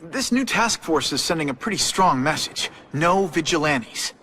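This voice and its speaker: An actor playing an earnest, nervous young newspaper photographer in a video game, speaking in a hesitant, boyish, polite way. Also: male voice, a little high, animated, moderate pace, sharp tone, a little hiss or hum. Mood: skeptical, probing. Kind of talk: video game cutscene acting.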